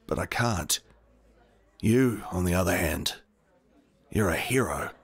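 A man's voice: sits low, lively intonation, takes it slowly, vocal fry, husky, no room sound, heavily acted. An actor playing an earnest, self-doubting man, a reluctant leader in a sci-fi audio drama. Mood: tender, resigned.

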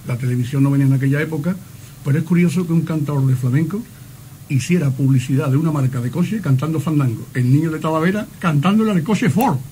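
A man's voice: on the high side, fast, warm tone, poor audio. A man interviewing informally on the radio. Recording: faint noise, archive radio interview.

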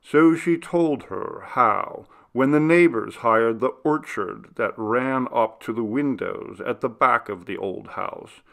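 A man narrating a classic gothic story as an audiobook, in a measured, expressive literary manner. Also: mid-range voice, gravelly.

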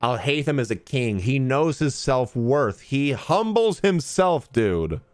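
A man's voice: mid-range voice, big pitch swings, slow, no room sound. A young man reacting casually to a game on stream, slangy and exclamatory. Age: young adult. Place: small indoor streaming room. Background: game music.

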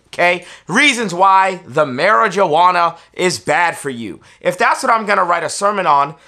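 A man's voice: high voice, pushed and bright. An American man in his thirties, a preacher giving an instructional talk in an emphatic, persuasive manner, repeating key phrases. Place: small furnished indoor room, seated on a sofa.

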